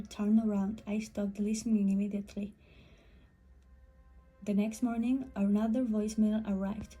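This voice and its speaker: A woman's voice: mid-range voice, dark tone, poor audio. A woman reading a scripted first-person horror story as a storyteller.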